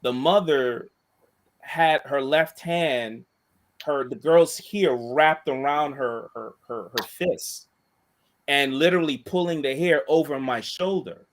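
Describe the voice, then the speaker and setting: Man, monotone voice, casual rambling storyteller, many restarts. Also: fairly high pitch, slow. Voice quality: monotone voice, self-described. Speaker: co-host and school staff member.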